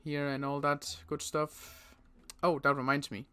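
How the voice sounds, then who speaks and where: Bright, clear-voiced young man roleplaying. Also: fairly high pitch, level tone. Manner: halting, many restarts, protective older-survivor tone. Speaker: roleplay series player. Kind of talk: in-character roleplay chat.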